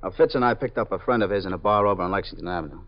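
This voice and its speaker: A brisk, fast-talking detective. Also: male voice, low voice. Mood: reporting. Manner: quick, respectful reporting. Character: brisk male police detective, dutiful. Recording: dull old radio broadcast.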